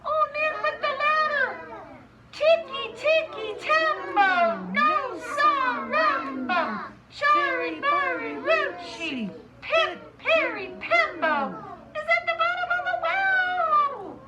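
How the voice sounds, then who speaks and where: A very high, breathless little storybook boy, put on by a woman, calls out desperately and draws out his words. The delivery is urgent and swooping, recorded at a distance in a slightly noisy space. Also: lively intonation, lo-fi sound. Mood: shouting, urgent. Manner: slow, laboured chanting of a long name.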